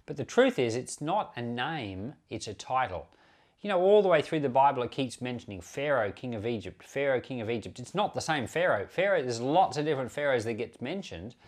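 A man teaches from the Bible in the manner of a pastor talking to listeners. His delivery is conversational and unscripted, correcting himself and stepping into small asides as he explains. His pitch sits in a medium range for a man. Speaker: pastor and Bible podcast host. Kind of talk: informal Bible commentary, teaching.

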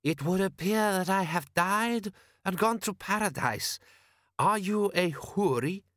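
A man's voice: a little high, fairly even intonation, extremely slow, a bit bright, no room sound, clean studio sound, pitched above the performer's own voice. A man voicing a weak, courteous prince in an audiobook, speaking formally with dazed wonder. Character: weak, ailing, courteous foreign male prince.

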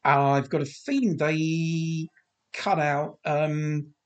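A man hosting a podcast, chatting through a TV commentary with a slightly croaky voice, rambling and hesitant with many fillers and restarts, self-deprecating. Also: on the high side, steady tone, extremely slow, lo-fi sound. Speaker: podcast host. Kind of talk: casual film commentary.

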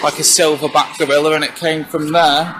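A young man from England, a witness recounting his experience in a halting, hesitant way, outdoors on a residential street. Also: on the high side, little pitch movement. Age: young adult. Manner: hesitant, halting, many fillers. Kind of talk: casual first-hand recounting. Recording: noisy outdoor ambience.

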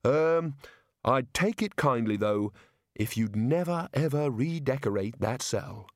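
A man's voice: mid-range voice, lively intonation, measured pace, no room sound, clean studio sound, close to an everyday voice. A man voicing a hapless, weary fugitive wizard in an audiobook story, mild, hesitant and politely wry. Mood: resigned, polite.

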